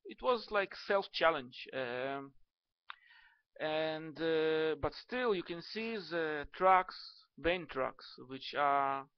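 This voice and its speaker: A young man with a Russian-tinged accent talks slowly and haltingly, full of fillers and restarts. His voice sits a little high for a man. The recording is dry and slightly dull. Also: lively intonation, smooth, no room sound. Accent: Russian and Hebrew. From Ukraine. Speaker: video blogger. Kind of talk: casual to-camera talk.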